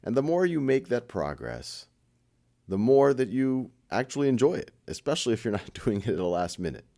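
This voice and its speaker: A man leading a guided meditation in an informal, conversational style, with a gentle, encouraging manner and light self-deprecating humour. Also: medium pitch, sing-song, fairly quick, vocal fry.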